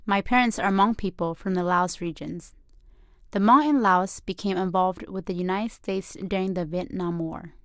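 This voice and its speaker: Calm young woman narrating. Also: mid-range voice, no echo. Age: 20s. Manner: calm, reflective, measured. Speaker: student filmmaker narrating her documentary. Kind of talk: scripted documentary narration.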